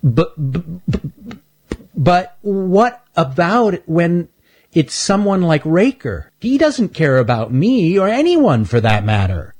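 A man's voice: a little high, sing-song, takes it slowly, pitched above the performer's own voice, heavily acted. Man doing a stuttering, shy young storybook boy. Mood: worried. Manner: stutters, repeats first sounds; gentle, earnest.

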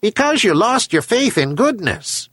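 A man's voice: high voice, big pitch swings, a little slow, fairly bright tone, slightly rough, no echo, studio quality, voice pushed up, full character voice. A man voicing a wise herring gull in a storybook tale, admonishing and philosophical. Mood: chiding. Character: wise, chiding herring gull, moral counselor.

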